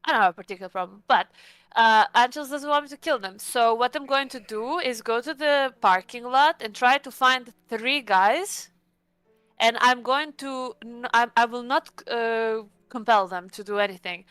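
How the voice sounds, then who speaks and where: A woman's voice: a little high, moderate pace, fairly bright tone, smooth, no room sound. A woman playing a tabletop roleplaying game, bantering playfully and casually in and out of character.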